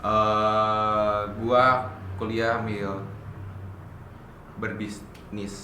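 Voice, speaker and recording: A young man giving short, halting answers in a casual interview. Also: fairly low pitch, level tone, sharp tone. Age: young adult. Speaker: interviewee, student entrepreneur. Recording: echoey room, noisy background.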